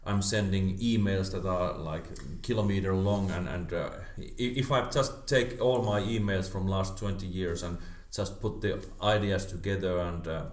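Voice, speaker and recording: A man's voice: low-pitched, fairly even intonation, moderate pace, few pauses. A middle-aged man from Finland chatting casually, stammering and repeating words as he thinks aloud. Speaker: karate teacher. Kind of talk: casual chat. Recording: echoey room.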